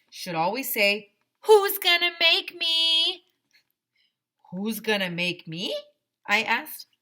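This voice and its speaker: A woman reading a children's story aloud as a teacher, expressive and pausing for asides to her listeners. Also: fairly low pitch. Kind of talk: animated children's read-aloud.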